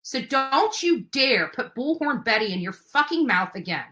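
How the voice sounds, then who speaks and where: Female livestreamer, high and forceful voice, indignant, confrontational, emphatic rant delivery. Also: sing-song, normal speed. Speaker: livestream host.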